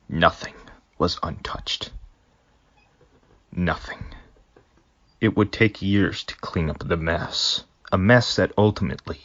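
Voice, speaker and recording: A man with a low, husky voice narrates a story in a dry, mock-solemn tone. The recording is a bit dull and muffled, like a cheap home mic. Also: fairly bright tone. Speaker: fanfic reader.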